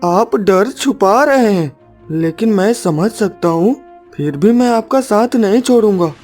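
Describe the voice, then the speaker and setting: A woman's voice: medium pitch, lively intonation, unhurried, a little mellow. Woman doing a sweet earnest little boy for a cartoon, devoted son, music underneath. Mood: devoted, brave. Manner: earnest, tender. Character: devoted, brave young woodcutter's son.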